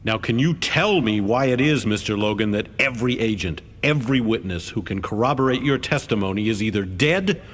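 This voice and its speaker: An actor playing a stern, coolly formal government investigator in a video game, speaking in a deliberate, accusing way. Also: male voice, lowish voice, close to an everyday voice. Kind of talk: video game cutscene acting. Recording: long hall reverb.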